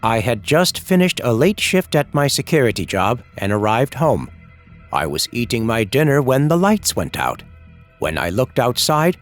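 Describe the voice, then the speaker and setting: A man narrates a scary first-person story with suspense and drama. His mid-pitched voice rises and falls widely, with music playing underneath.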